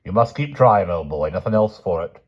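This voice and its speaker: A man's voice: low-pitched, expressive, moderate pace, darkish tone, breathy edge, highs cut off. A man voicing a bluff, pedantic knight who talks in a hearty, matter-of-fact way. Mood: soothing. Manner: hearty, chiding "old boy", matter-of-fact.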